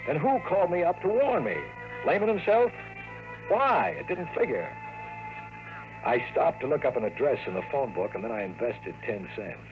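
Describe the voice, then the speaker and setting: Wry hardboiled private eye. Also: male voice, fairly high pitch, narrow, tinny audio. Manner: wry, slangy, sardonic. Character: wisecracking, world-weary male private investigator, hardboiled yet sentimental. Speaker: private investigator and narrator. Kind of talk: 1950s radio drama acting, hardboiled detective narration. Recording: old radio broadcast with music.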